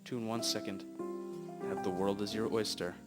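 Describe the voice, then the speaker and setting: Low-voiced young man narrating over music. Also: steady tone, moderate pace. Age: teens or young adult. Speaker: radio host and narrator. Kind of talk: amateur scripted radio drama narration. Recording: amateur audio with music behind. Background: guitar music.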